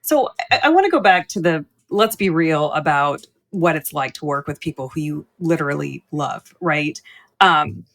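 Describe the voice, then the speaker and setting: A woman's voice: low-pitched, animated, moderate pace, a bit bright. A woman co-hosting a business podcast, asking reflective, candid questions of her guest. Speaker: podcast co-host. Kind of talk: conversational podcast interview.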